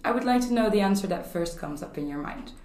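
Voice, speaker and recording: A woman's voice: medium pitch, expressive, fast, a little mellow, reverberant. Dutch-born woman hosting a podcast. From Netherlands. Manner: friendly, laughs at her own jokes. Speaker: podcast host. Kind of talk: podcast interview. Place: home video call.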